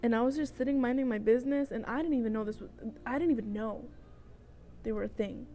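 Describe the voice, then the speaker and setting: A young woman in her twenties with a fairly high voice chats through a personal story like a vlogger. She drifts into asides and keeps checking in with her listener. The homemade recording has clear background noise. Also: fast, mellow. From El Salvador. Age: 20s. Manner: chatty, tangent-prone, often checking in with "okay?". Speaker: vlogger telling personal stories. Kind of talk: casual storytime chat. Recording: noticeable noise, homemade vlog audio.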